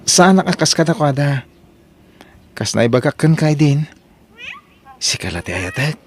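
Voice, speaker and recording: A man's voice: a little high, very animated, unhurried, slight background noise. A man with an Ilocano accent playing a devoted, reassuring young boyfriend in an audio drama. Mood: tender, reassuring. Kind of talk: Ilocano radio-style audio drama acting.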